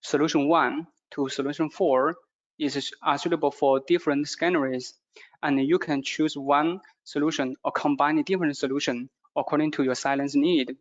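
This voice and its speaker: A man who is a non-native English speaker gives a product presentation in a halting way, with frequent hesitations. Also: a little high, fairly even intonation, dull audio. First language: not English.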